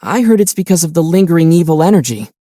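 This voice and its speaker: A man voicing a calm, gentle young traveler hero in a video game, speaking thoughtfully with mild teasing. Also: a little high, dry recording, studio quality. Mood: calm, explanatory. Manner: measured, thoughtful, mildly teasing. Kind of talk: video game character voice lines.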